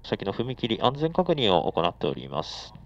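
A man's voice: on the low side, wildly swooping pitch, moderate pace, creaky. A man making formal, polite train announcements as the conductor, heard over an onboard speaker. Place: inside a moving commuter train. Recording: muffled onboard speaker with noise. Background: faint train and air-conditioning noise.

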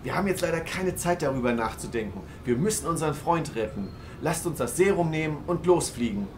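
A man's voice: on the high side, animated, a little fast, noisy background, close to an everyday voice. A man playing a decisive podcast host who leads his friends on a rescue in an amateur audio drama. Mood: urgent, decisive. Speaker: podcast host and team leader.

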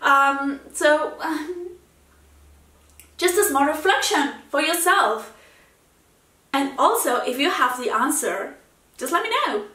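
Chatty young woman with a high bright voice. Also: animated, slow, long pauses, reverberant, recorded from a distance. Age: 20s. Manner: chatty, lots of fillers and restarts, laughs easily. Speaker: YouTube reaction host. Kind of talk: casual talk to camera. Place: indoor room in front of a framed seascape painting.

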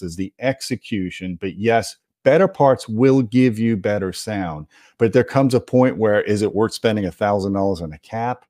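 A man's voice: fairly low pitch, a little mellow, no echo, studio quality. A man hosting an audio gear video, explaining things to viewers in an emphatic, opinionated, conversational way. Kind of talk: explanatory product commentary to viewers.

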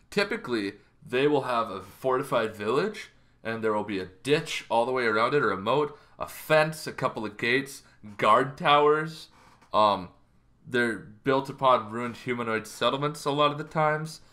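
A man in his thirties explaining things casually as a show host, in an informal, halting way. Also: medium pitch, lively intonation, bright, forceful tone. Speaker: host of a tabletop roleplaying lore show. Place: bedroom, indoors.

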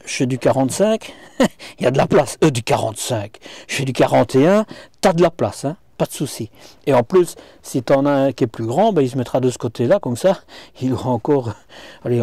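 A man's voice: a little high, mellow, no room sound. A middle-aged man giving a chatty walkthrough of a camper van's interior, talking straight to the viewer on a clip-on mic. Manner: chatty, addresses viewer directly, frequent hop-hop and hein. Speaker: motorhome reviewer. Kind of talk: casual walkthrough vlog. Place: inside a camper van. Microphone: small clip-on mic.

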